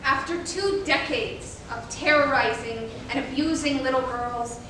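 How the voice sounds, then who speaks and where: A woman's voice: measured pace, bright, forceful tone. A young woman delivering grave, emphatic scripted narration in reader's theater. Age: young adult. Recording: echoey room, distant mic, rumbling noise.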